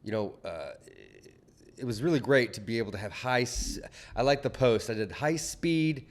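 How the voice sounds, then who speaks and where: A Southern man co-hosting a local talk show, chatting loosely and restarting his sentences. His pitch is mid-range and his voice sits in the middle of the usual male range. He is recorded in a studio. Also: lively intonation, normal speed. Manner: rambling, self-correcting, chatty. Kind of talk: casual chat.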